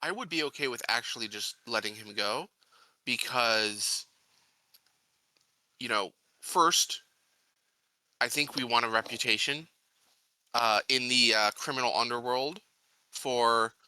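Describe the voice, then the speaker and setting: A man in casual in-character discussion at a tabletop game, reasoning things out with pauses. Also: mid-range voice, pushed and bright, dry recording. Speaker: tabletop role-playing player.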